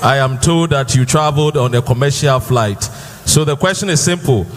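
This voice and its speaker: A man formally addressing a president with a question from the audience, speaking deliberately with pauses. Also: medium pitch, slight background noise. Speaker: audience member asking a question. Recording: hall reverb.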